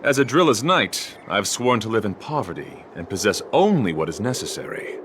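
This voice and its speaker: A man voicing a chivalrous, high-minded knight devoted to beauty in a video game, speaking formally and with theatrical earnestness. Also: sing-song, normal speed, noisy background. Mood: courteous, earnest. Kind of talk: video game character acting. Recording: game music behind the voice.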